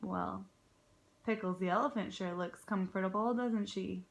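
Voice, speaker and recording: A woman, a kindergarten teacher, reading a storybook aloud expressively, with dramatic pauses and encouraging questions for young listeners. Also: medium pitch, steady tone, gravelly, poor audio. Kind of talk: children's read-aloud with prompting questions.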